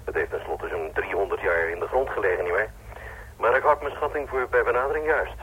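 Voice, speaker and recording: A male doctor, played by an actor in a radio drama. His voice sits fairly low, conversational and matter-of-fact with an apologetic hesitancy. There is faint noise on the old recording. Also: brisk, a bit bright, close to an everyday voice, low-quality recording. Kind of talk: Dutch radio play acting.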